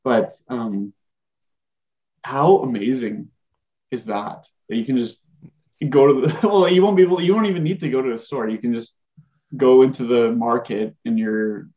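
A man's voice: mid-range voice, normal speed, warm tone. A man co-hosting a podcast, speculating eagerly and laughing as he talks. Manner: enthusiastic, laughs while speculating. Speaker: podcast co-host. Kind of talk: casual chat. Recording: slightly dull lo-fi sound.

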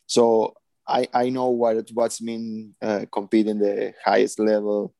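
A man in his thirties from Argentina, a former pro futsal player, speaking halting second-language English with many pauses. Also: on the low side, monotone, creaky, warm tone, no room sound. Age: 30s. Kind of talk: casual panel chat.

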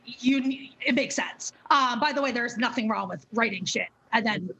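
A woman's voice: on the high side, moderate pace, gravelly, fairly bright tone, poor audio. A woman hosting a casual interview, effusive and chatty, swearing freely and filling with "like" and "um". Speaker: interview host.

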